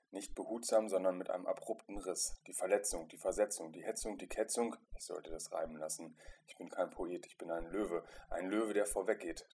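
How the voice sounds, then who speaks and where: A man narrating a first-person literary story in an introspective, dramatic way, with emphatic swells and quieter drops. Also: sits low, slight background noise. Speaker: narrator reading a short story.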